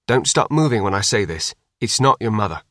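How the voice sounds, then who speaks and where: A man's voice: fairly low pitch, animated, brisk, bright, forceful tone, no room sound. A male audiobook reader acts out a father bracing for disaster. He is curt and commanding, giving clipped orders with urgency. The sound is close and dry. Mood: urgent, commanding. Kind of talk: audiobook character dialogue.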